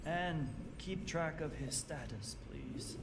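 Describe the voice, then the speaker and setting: An actor playing a weary, methodical doctor in a video game cutscene, speaking in a measured, clinical way. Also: male voice, a little high, animated, vocal fry, very noisy, full character voice. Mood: tired, businesslike. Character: weary male doctor tending patients, grave and methodical.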